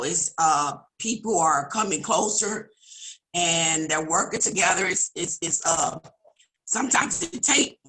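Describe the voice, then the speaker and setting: Woman, pastor, slightly raspy, bright, earnest and prayerful, video call. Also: lowish voice, rough recording. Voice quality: slightly raspy, speaking through a vocal cord implant. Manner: earnest, prayerful, halting restarts. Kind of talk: virtual audience member sharing testimony.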